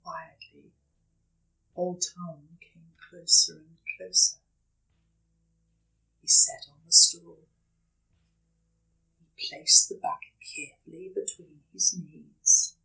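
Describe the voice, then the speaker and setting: A woman's voice: on the low side, huge pitch swings, vocal fry, sharp tone, in a whisper, distant mic, poor audio. A woman reading a children's story aloud expressively, dramatically stressing and drawing out repeated words. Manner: dramatic, with emphatic repetition and drawn-out words.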